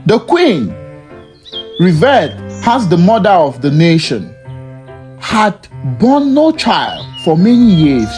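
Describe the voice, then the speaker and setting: A storyteller giving a scripted folktale narration, slow and dramatic, with swooping emphasis on key words. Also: medium pitch, darkish tone.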